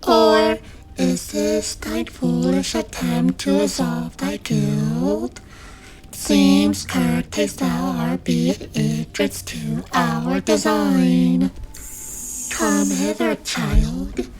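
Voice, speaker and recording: Woman voicing a slow, menacing, haughty dark lord spirit. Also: sits high, music in the background. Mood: haughty, beckoning. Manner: archaic, imperious, slow and deliberate speech. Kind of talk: scripted horror fantasy ASMR roleplay.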